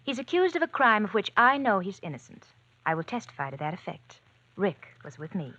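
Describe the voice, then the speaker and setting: A woman's voice: mid-range voice, very animated, brisk, bright, forceful tone, no echo. A thrill-seeking young society heiress who is cool and scheming. She talks in a breezy, defiant way, with a voice that swings up and down. The old radio recording sounds muffled. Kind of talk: 1940s radio acting.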